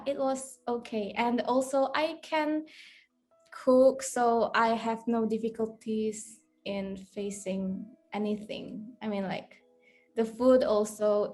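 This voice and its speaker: A young woman, co-hosting a student podcast in relaxed casual chat, laughing often. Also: fairly high pitch. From Indonesia. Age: young adult. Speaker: podcast co-host.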